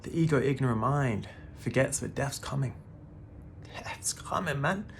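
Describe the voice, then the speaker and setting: A man's voice: medium pitch, lively intonation, unhurried, vocal fry, slight background noise. A man in his thirties giving a casual, laid-back spiritual talk to the camera, often calling the listener "man" and swearing now and then. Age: 30s. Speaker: spiritual vlogger.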